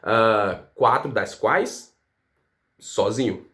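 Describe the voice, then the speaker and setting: A man's voice: lowish voice, very slow. A Brazilian man giving a casual language lesson, asking rhetorical questions and adding "tá?" to his sentences. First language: Portuguese. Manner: animated, rhetorical questions, frequent "tá?" tags. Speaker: language teacher.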